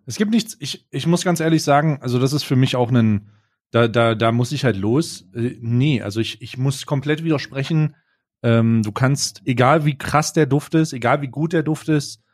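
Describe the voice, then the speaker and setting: A man's voice: mid-range voice, a little mellow. Frank, teasing male podcast co-host. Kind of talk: casual chat.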